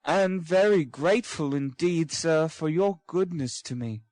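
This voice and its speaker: A man's voice: a little high, animated, unhurried, darkish tone, dry recording, voice pushed up. A man voicing a frail, polite young boy recovering from illness, in a storybook reading. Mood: grateful. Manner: meek, polite.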